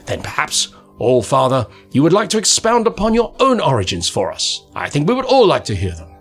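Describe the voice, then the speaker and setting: A man's voice: fairly high pitch, huge pitch swings, fairly quick, fairly bright tone, husky, faint music behind, full character voice. A man reading aloud and voicing an old male alien traveller who needles and questions with theatrical defiance. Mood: mocking.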